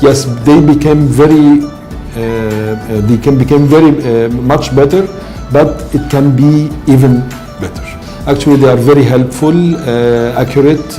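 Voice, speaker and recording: A man gives an office testimonial through a lapel mic. His voice is dark and mellow, and he hesitates, circling back through fillers and restarts. Soft music plays underneath. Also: mid-range voice. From Egypt. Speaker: company finance manager. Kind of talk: interview testimonial. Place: office indoors.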